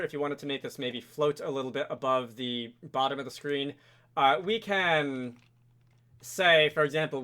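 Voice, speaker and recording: Male tutorial presenter, slightly higher-pitched and bright, informal and explanatory, talking viewers through code. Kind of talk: casual screen-recorded coding tutorial.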